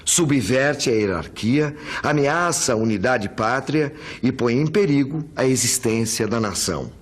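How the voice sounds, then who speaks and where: A Brazilian man presents educational material in a husky, mid-pitched voice. His delivery is that of a presenter reading and explaining to viewers. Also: animated, a little slow.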